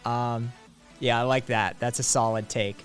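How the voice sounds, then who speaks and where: A man's voice: mid-range voice, steady tone, a little slow. A man in his late thirties chatting on a live stream in a loose, rambling way, swearing freely and filling gaps with ums. His voice sits in a middle range. Faint music plays underneath. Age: late 30s. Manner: profane, rambling, laid-back with frequent fillers. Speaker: streamer host. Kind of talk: casual live-stream chat.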